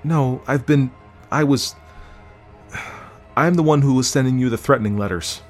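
A man's voice: expressive, a little fast, darkish tone, soft background music, natural voice. A man voicing a defiant, exasperated wife with an indignant manner in an audiobook story. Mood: defensive, pleading. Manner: indignant, raised pitch.

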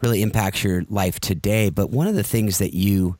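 Low-pitched American man hosting a podcast. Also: moderate pace, a little mellow, dry recording. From United States. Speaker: podcast host. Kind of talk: conversational interview.

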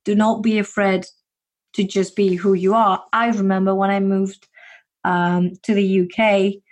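A woman's voice: medium pitch, little pitch movement, measured pace, darkish tone. A woman with a Spanish accent, giving earnest, encouraging advice in a casual podcast chat, with frequent fillers. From Spain.

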